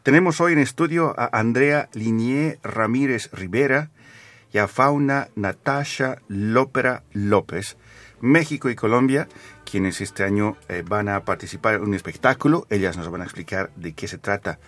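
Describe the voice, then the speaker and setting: A man hosting a radio interview from a studio, speaking in a measured, formal presenter's manner. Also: lowish voice, a bit bright. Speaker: radio host.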